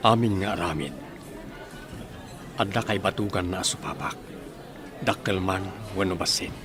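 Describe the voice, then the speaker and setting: A courteous young Filipino suitor in a radio drama. The voice is low and earnest, with clearly audible music beneath it. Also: male voice, unhurried. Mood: earnest, grateful.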